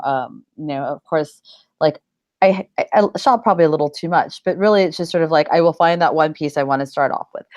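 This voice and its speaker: A woman's voice: sits low, a little mellow, dry recording. A middle-aged woman chatting casually in an interview, rambling informally with lots of "like" and "you know". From United States.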